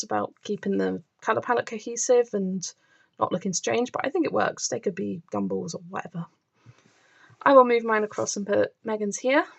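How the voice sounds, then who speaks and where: Chatty woman with a gravelly edge. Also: medium pitch, highs cut off. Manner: chatty, enthusiastic, explains her process. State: voice starting to crack. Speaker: colouring channel host. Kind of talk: casual show-and-tell narration. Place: indoors at a table, filming books from above.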